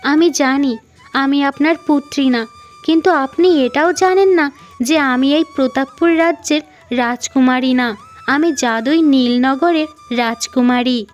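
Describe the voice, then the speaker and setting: A woman's voice: high-pitched, measured pace, highs cut off. A woman voicing a young, earnest cartoon princess who speaks formally and firmly, with music behind. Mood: solemn, firm. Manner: formal, declarative storybook speech.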